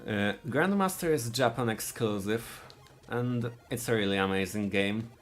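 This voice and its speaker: A man from Poland with a Polish accent, giving live speedrun commentary in a halting way, often restarting his sentences. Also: on the low side. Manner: halting, searching for words, frequent restarts.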